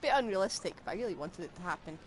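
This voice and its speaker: A woman's voice: on the low side, lively intonation, rapid-fire. A woman game streamer giving casual live reactions during a playthrough. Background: game music.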